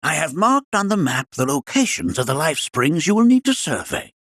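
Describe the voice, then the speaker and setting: A man playing a whimsical, absent-minded elderly scholar in a video game, raspy and creaky, speaking in formal, old-fashioned phrases. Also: fairly high pitch, sing-song, normal speed, fairly bright tone, no room sound, clean studio sound, exaggerated voice. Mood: pensive, instructing. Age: older. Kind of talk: video game character acting.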